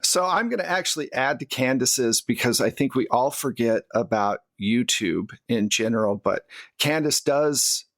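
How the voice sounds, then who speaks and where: A man's voice: medium pitch, moderate pace, a bit bright, no echo. A man hosting a tabletop game podcast, chatting casually and jokingly as he signs off. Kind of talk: casual chat, podcast sign-off.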